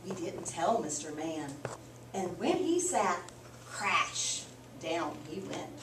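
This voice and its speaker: A woman reading a picture book aloud to children like a teacher, with expressive, emphatic storytelling. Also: on the low side, slow. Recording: roomy, distant mic, clearly noisy background.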